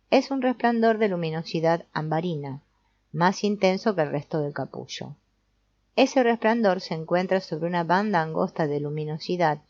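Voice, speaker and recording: A woman reading an audiobook as narrator in a measured, explanatory way. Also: on the low side, darkish tone. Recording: dull, lo-fi sound.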